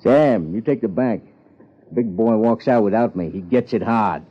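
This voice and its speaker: An actor playing a tough, blunt gangster in a 1950s American radio crime drama, talking in slangy threats with an American accent. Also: male voice, on the low side, normal speed, close to an everyday voice. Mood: threatening. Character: tough, blunt male gangster just out of prison. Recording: muffled, noisy old radio broadcast.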